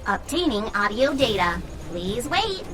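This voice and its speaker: A slow-speaking female robot sentry from a video game, obedient and procedural. The voice is fairly high with swinging pitch, delivering clipped formal notices over music. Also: sing-song. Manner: formal, clipped machine announcements. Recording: music clearly behind the voice.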